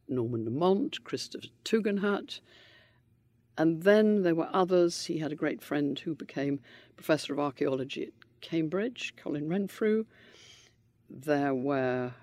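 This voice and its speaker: An older man speaking in a measured, reflective way, his voice slightly raised in pitch for a man and carrying a gravelly edge. The sound is dry and close, with no room around it. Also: a little mellow, no room sound. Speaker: interviewee, widower of a politician. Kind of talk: reflective interview answers.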